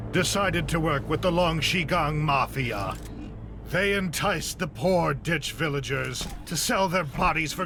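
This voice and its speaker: An actor playing a grieving, zealous AI researcher turned hostage-taker in a video game cutscene, delivering grandiose speeches. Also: male voice, fairly high pitch. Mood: defiant, bitter. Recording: music behind the voice.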